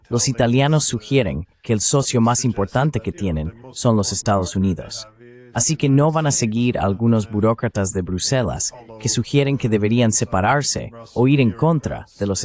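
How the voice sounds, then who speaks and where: A man's AI-generated dubbed voice as an interview host, giving analytical, measured commentary. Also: mid-range voice, lively intonation, darkish tone.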